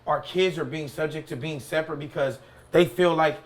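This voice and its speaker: A man's voice: on the high side, steady tone, brisk, gravelly. A man from South Bay, Los Angeles, a barber guest, chatting casually in slangy, rambling talk with lots of "you know what I'm saying". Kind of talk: casual podcast chat.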